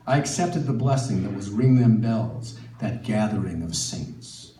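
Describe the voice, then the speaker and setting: A man's voice: lowish voice, lively intonation, measured pace, mellow. A middle-aged American man reading his own personal memoir aloud at a live literary reading. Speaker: author reading from own book. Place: live event in a gallery. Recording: echoey live room, distant mic, faint noise.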